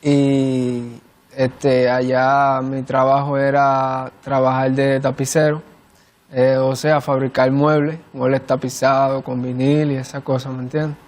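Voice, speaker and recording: A man's voice: mid-range voice, flat delivery, takes it slowly. A man with a Cuban accent casually introducing himself as a guest participant on a panel. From Cuba.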